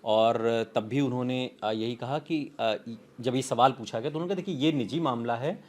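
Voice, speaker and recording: A man's voice: medium pitch, moderate pace, a little mellow. A man hosting a news interview, putting probing questions conversationally and often repeating his phrases. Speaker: news host interviewing a reporter.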